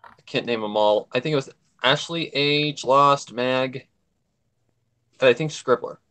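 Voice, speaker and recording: A man's voice: mid-range voice, a little slow, bright, forceful tone, clear, resonant tone. A man talking casually as a guest on a livestream, rambling and emphatic, often repeating his points. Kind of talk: casual chat.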